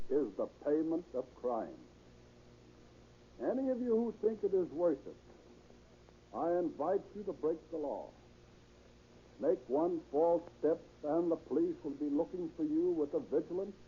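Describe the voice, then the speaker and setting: An American man, a police chief, giving a formal, emphatic, moralizing radio address in 1930s style, with dramatic pauses. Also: a little high. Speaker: police chief, guest speaker. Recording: muffled, noisy 1930s radio recording.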